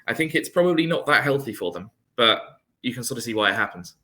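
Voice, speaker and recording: A man's voice: mid-range voice, very animated, quick pace, bright, forceful tone. A British man in a casual conversation, explaining things in a rambling, chatty way. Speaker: guest in a friendly conversation.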